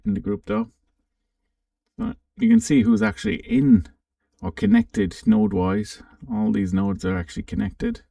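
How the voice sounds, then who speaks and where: A man giving a casual, unscripted software walkthrough, thinking aloud with some hesitation as he presents. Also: fairly low pitch, vocal fry, studio quality. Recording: roomy, slightly distant microphone.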